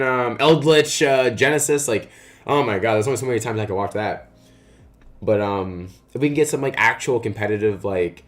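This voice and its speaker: A young man streaming a card game, chatting casually with a raspy morning voice and lots of "uh" and "like" fillers. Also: lowish voice. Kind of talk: casual live-stream chat.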